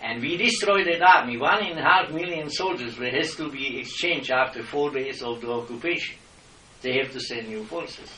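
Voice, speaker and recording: A man's voice: medium pitch, moderate pace, sharp tone, a little hiss or hum. A middle-aged man from the Czech Republic with a Czech accent, arguing his case in an impassioned, lecturing way with non-native grammar. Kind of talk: heated argument with officials. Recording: echoey room, mic at a distance.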